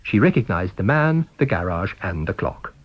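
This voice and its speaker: Very animated British male storyteller. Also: sits low, normal speed, breathy edge, dull audio. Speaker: audiobook reader. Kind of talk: scripted story narration.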